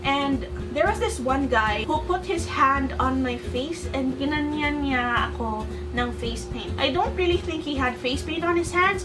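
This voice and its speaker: A woman's voice: sits high, distant mic. A young Filipino woman with a Filipino accent, chatting bubbly about her favorite beauty products, mixing English and Tagalog, recorded at home on a camera mic. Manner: bubbly, mixes English and Tagalog, repeats "really, really". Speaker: beauty vlogger. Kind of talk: casual product review.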